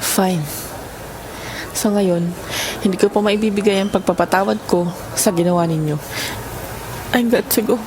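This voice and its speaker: A Filipino woman playing a proud wife and stepmother in an audio drama, with expressive delivery. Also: mid-range voice, moderate pace, pauses often, lightly acted. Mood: cold, hurt. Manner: expressive, code-switching into English. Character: proud wronged wife who softens into doting stepmother. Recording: noisy ambient sound effects behind voice.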